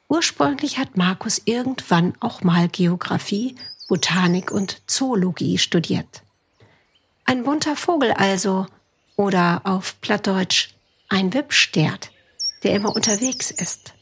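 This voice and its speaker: A woman's voice: medium pitch, breathy edge. A middle-aged woman from a German North Sea island, hosting a podcast with narration and conversational interviewing. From Juist, North Sea island in Germany. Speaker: podcast host.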